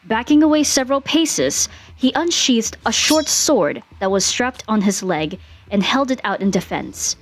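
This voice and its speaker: A woman reading fan fiction aloud as an expressive narrator, with music behind her. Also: on the high side, measured pace, a little hiss or hum.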